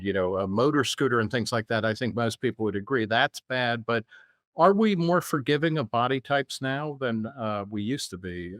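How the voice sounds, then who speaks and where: A man's voice: medium pitch, a bit bright, no room sound, studio quality. A man hosting an interview podcast, framing his questions hesitantly with many fillers.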